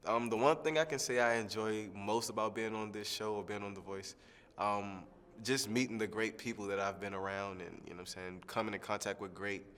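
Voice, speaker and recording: A young man, a singing contestant, answering interview questions in a relaxed, rambling way with lots of fillers. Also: lowish voice, brisk, smooth, long reverb tail. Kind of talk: press junket soundbite interview.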